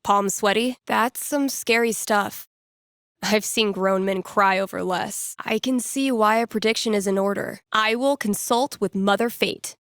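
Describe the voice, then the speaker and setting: A woman's voice: mid-range voice, measured pace, fairly bright tone, no room sound, studio quality. An actress playing a wry, playful young American woman in a video game, with casual radio DJ patter and a teasing way of talking. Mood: playful, dramatic.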